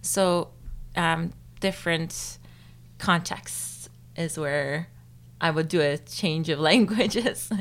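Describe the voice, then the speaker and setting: Soft-spoken lower-pitched young woman. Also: slow, gravelly. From Turkey. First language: Turkish. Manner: thoughtful, with frequent fillers and light laughs. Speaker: interviewee. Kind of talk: casual interview.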